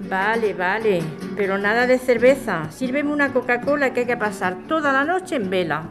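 A woman plays a hopeful daughter comforting her mother in an audio drama. Guitar music plays clearly behind her slightly high voice. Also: lively intonation, normal speed, close to an everyday voice. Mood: reassuring. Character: reassuring grown daughter, hopeful and neighborly.

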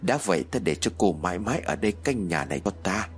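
A man's voice: low-pitched, expressive, fast. A man voicing a vengeful female ghost, resentful, gloating and menacing. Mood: gloating menace.

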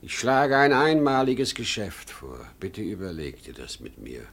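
A man's voice: fairly low pitch, sing-song, measured pace, a bit bright, breathy edge, full character voice. An actor playing a desperate, worn-out salesman in his sixties, urgent and pleading, in 1950s German radio drama style. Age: older. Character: desperate worn-out 63-year-old salesman plotting his death.

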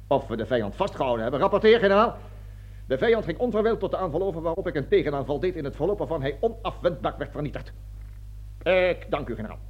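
Pompous army colonel, dull recording. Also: male voice, on the high side. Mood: self-important. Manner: declamatory speeches, barking orders, stammering when flustered. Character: pompous fanatical army colonel, bombastic and self-righteous. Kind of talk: satirical radio play acting. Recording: dull lo-fi radio drama recording.

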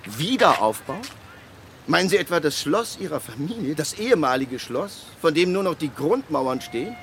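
An actor playing a government official in a radio play, telling a case emphatically with dramatic pauses and acted-out dialogue. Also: male voice, on the high side, big pitch swings, a bit bright, a little hiss or hum. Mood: incredulous. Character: animated male government official recounting a land restitution case. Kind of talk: conversational monologue in a radio play.

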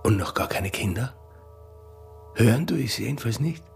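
An actor playing a stern, proud, curt border guard in a radio drama. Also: male voice, mid-range voice, expressive, moderate pace, vocal fry, darkish tone, soft background music. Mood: cocky, flirting. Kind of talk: radio drama acting in dialect.

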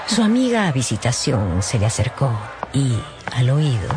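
A radio novel narrator with animated, widely varied intonation, telling the story dramatically. Music plays clearly behind the voice. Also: medium pitch, moderate pace.

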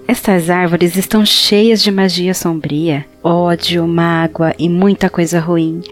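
A woman playing a tiny, hungry forest fairy in a fantasy audio drama, speaking in an earnest, pleading, gentle and formal storybook way. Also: fairly low pitch, fairly even intonation, takes it slowly, soft background music. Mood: sad, grave.

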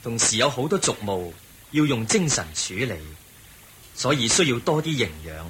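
An actor playing the Buddha as a kindly, patient monk in a Cantonese radio drama, with a Hong Kong accent. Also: male voice, medium pitch, very animated, a bit bright, slight background noise, low-quality recording. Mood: patient, explaining. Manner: measured, patient explaining. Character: the Buddha, calm kindly male wandering monk and teacher.

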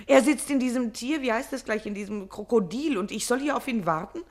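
An actress playing a flirtatious, self-centred, capricious wife in a radio play, coquettish and chatty. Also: female voice, on the high side, brisk, natural voice. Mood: flippant, petulant.

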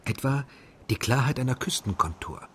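A man's voice: mid-range voice, animated, moderate pace, fairly bright tone, a little hiss or hum. A man reading a scripted translation voice-over for a radio documentary.

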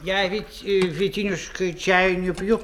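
An actor playing a bilious, strict brother-in-law in a radio comedy, speaking fussily and hesitantly. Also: male voice, high-pitched, fairly even intonation, measured pace, fairly bright tone, a little hiss or hum. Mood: fussy refusal. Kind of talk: theatrical radio play acting.

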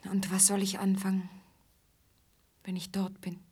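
Downtrodden young woman musician: breathy, guarded, plaintive, direct, radio drama delivery. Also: medium pitch, monotone, a little slow, warm tone. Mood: wary. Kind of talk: German radio play acting.

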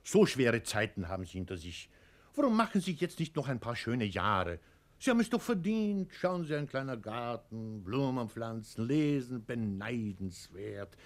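Radio play role: officious male official, self-righteous, smoothly formal, highly animated delivery. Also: normal speed. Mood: patronizing. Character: officious male bureaucrat chief, servile upward, patronizing downward.

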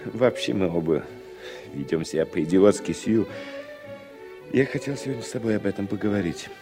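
Stubborn principled man in his forties, low voice, wide swings of intonation, 1960s radio drama, music behind. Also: expressive, moderate pace, big-room sound. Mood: earnest. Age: 40s. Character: principled, stubborn American leftist man, defiant under questioning. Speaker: lead actor and first-person narrator. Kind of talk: Soviet radio drama acting.

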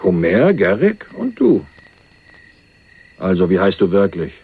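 An actor playing a cool, evasive young heir sitting in jail in a German radio crime drama, laconic and dryly ironic. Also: male voice, sits low, wildly swooping pitch, a little slow, slightly rough, full character voice. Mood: bantering, guarded. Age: young adult. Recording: dull lo-fi radio recording, faint noise.

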